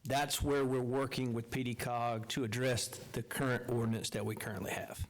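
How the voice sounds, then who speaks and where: A man, a council member earnestly repeating a point to clear it up for the audience. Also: mid-range voice, level tone, moderate pace. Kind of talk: council meeting remarks.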